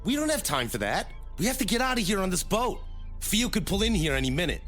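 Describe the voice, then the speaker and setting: A man acting in an audio drama as a brisk, exasperated take-charge guy fixing a boat. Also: high voice, sing-song, a bit bright, soft background music. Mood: grave, urgent.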